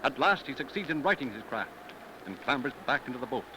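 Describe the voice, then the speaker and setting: A man's voice: mid-range voice, very animated, fast, noisy background. A man narrating in the theatrical, emphatic style of a 1930s radio drama. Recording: old 1930s radio broadcast, music behind. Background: orchestral dramatic music.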